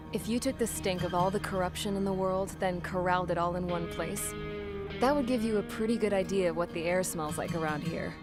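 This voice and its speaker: A woman's voice: mid-range voice, close to an everyday voice. An actress playing a tough, foul-mouthed female prisoner mechanic in a video game, narrating cutscenes with blunt, wry slang. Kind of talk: scripted first-person cutscene narration. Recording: loud music under the voice. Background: loud game soundtrack music.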